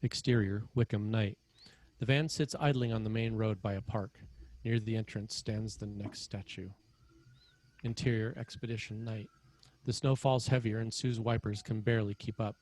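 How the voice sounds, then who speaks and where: A man's voice: fairly low pitch, steady tone, normal speed, darkish tone. A man narrating screenplay scene headings and action in a scripted read.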